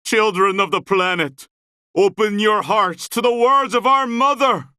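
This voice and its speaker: A man voicing a forceful, declamatory townsman in a video game, with a dramatic delivery. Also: high voice, a little slow, smooth, dry recording, studio quality. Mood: fervent, grave.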